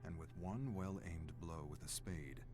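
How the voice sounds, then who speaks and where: An actor playing a grave, weary scholarly archivist with a morbid fascination, narrating dark game records in a deliberate, brooding way. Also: male voice, deep voice. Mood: morbidly fascinated. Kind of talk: dramatic scripted game narration. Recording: clear music behind the voice.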